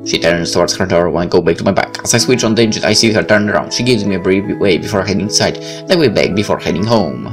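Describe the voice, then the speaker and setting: A man reading game text aloud and reacting in an expressive, blunt way. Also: low voice, quick pace, few pauses. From Poland. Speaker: let's play host. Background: game music.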